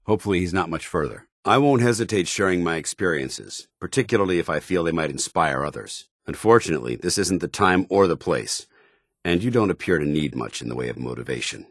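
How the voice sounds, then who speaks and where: A very deep, gruff military paladin. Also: male voice, expressive, brisk, no room sound, clean studio sound. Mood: approving, formal. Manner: earnest, clipped military formality. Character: stern, loyal, zealous military paladin, gruff man. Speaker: companion soldier character. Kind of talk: video game voice acting.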